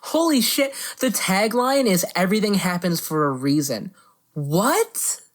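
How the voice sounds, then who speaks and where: A woman's voice: medium pitch, very animated, a little slow. A woman co-hosting a podcast, chatting casually about movies with playful riffs. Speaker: podcast co-host.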